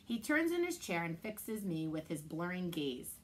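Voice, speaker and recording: Librarian in her thirties, steady read-aloud voice, camera mic in a library room. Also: on the low side, a little hiss or hum. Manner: steady, measured storytelling. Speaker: children's librarian. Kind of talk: reading a chapter book aloud.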